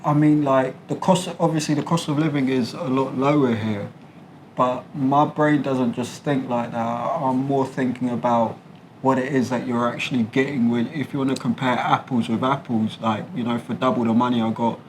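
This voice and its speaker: A man's voice: medium pitch, steady tone, normal speed. Slangy London man, faint background noise. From London, England. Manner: colloquial, slangy, explanatory. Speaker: interviewee, British expat. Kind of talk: candid conversational interview. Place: a condo apartment.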